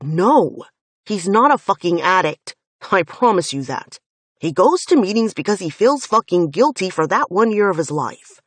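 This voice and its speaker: A woman reads a heated young man who is furious and protective, hammering each word and swearing freely. The voice sits low and husky in a dry, close sound. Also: very animated, moderate pace, a little mellow, no room sound. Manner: intense, swearing, emphatic.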